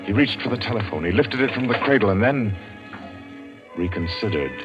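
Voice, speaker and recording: A muffled vintage radio broadcast with loud music behind a low-voiced man. He narrates suspense in 1940s style, ominous and measured, letting pauses hang. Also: expressive. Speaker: announcer and narrator. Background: loud dramatic orchestral music.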